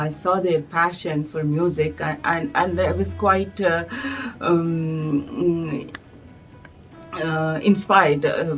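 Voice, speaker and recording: A middle-aged woman from India, whose first language is Telugu, answers interview questions in a low, unhurried voice. She pauses often and searches for words, filling the gaps with soft hesitations. A faint bed of music sits underneath her voice. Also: rough recording. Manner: thoughtful, hesitant with many fillers. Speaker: guest, music society director.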